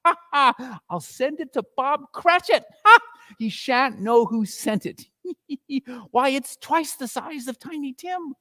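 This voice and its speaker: Man acting elderly reformed miser, high voice, swooping intonation, exuberant, theatrical storybook reading. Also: lively intonation, slow pace, dry recording, full character voice. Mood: giddy delight. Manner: exclamatory, exuberant, chuckling old gentleman. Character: elderly miser, newly reformed, giddy with joy.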